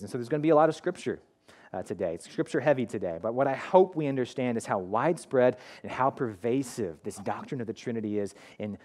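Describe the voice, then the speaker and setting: A man in his thirties preaching a sermon in a school hall, talking in a conversational, animated way with many restarts, on a headset mic. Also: mid-range voice. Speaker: pastor. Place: school hall with curtained stage.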